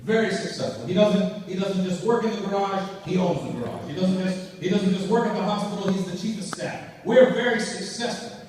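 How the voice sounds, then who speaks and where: A young man doing an animated stand-up set on a conference stage, telling stories with frequent restarts. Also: sits high, fairly quick, hardly any pauses. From United States. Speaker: stand-up comedian. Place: conference stage before a live audience. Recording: echoey hall, distant mic, noisy crowd.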